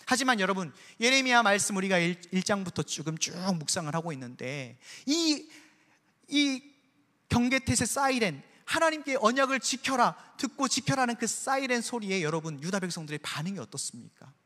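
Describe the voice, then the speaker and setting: A man's voice: high-pitched, very animated, a little fast, pushed and bright. Emphatic preaching man in a reverberant sanctuary. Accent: Seoul Korean, trying to hide his Jeolla dialect. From Jeolla region, Korea. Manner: emphatic preaching, rhetorical questions to the congregation. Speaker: pastor. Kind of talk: sermon. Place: church sanctuary. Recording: long reverb of a church sanctuary.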